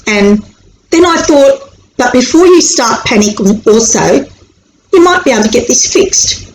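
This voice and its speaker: A woman with an Australian accent, born in Sri Lanka, explaining anxiety and the brain in a chatty, animated way, acting out worried inner voices. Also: fairly high pitch, unhurried. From born in Sri Lanka, raised in Australia. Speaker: anxiety educator on video. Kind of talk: casual explanatory talk to camera. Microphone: camera mic. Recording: echoey room with faint hiss.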